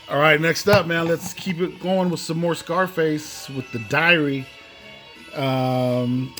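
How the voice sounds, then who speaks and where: A man talks through a collection as a vlog host, taking his time over every phrase. His delivery is casual and laid-back, with a medium pitch, and music plays clearly behind him. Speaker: host showing off a cassette collection. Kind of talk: casual unscripted vlog commentary.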